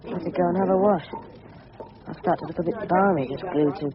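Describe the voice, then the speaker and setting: A man's voice: high-pitched, brisk, crowd noise, close to an everyday voice. A man playing an earnest English schoolboy. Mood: musing. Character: earnest, anxious, polite but prickly English schoolboy. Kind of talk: radio drama acting. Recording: muffled 1970s radio play, busy background sounds.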